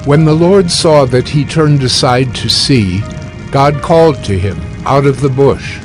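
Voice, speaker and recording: Slow, measured male scripture narrator. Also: medium pitch, music in the background. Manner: measured, formal storytelling.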